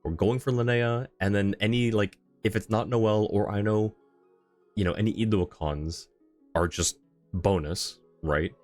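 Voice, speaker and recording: A man's voice: fairly low pitch, measured pace, a little mellow, no room sound. A man chatting casually on a game livestream, thinking aloud and rambling as he reads chat, with music behind him. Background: video game music.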